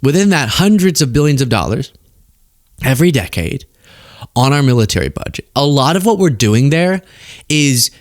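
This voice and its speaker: A man's voice: mid-range voice, big pitch swings, moderate pace, no echo. Wry man with a dry, close sound. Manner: wry, conversational, reading messages aloud mockingly. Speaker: political commentator and show host. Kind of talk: casual political commentary.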